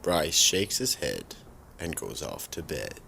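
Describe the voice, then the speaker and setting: A man with an extremely deep, gravelly voice narrates a horror radio play. He reads slowly and deliberately, with faint noise behind. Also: very animated, sharp tone. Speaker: narrator, announcer.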